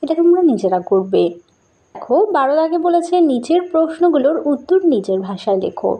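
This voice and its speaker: High-pitched woman teaching a school lesson. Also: sing-song, a little fast, muffled recording. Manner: instructional, addresses pupils directly. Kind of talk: school lesson, reading out questions and answers.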